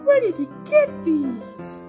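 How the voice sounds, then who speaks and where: A man playing an excitable, anxious mother in a shrill falsetto for an amateur radio drama. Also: slow pace, music in the background, full character voice. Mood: excited.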